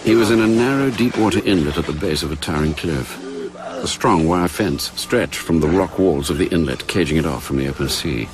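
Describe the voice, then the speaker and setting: An actor playing a grave storyteller, narrating a spy thriller radio drama in a measured, suspenseful manner. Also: male voice, sits low, natural voice.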